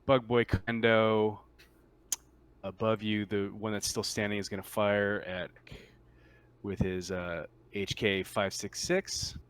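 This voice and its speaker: A man's voice: on the low side, level tone, takes it slowly, a bit bright, clear, resonant tone. A man acting as game master, casually running and narrating a tabletop roleplaying game.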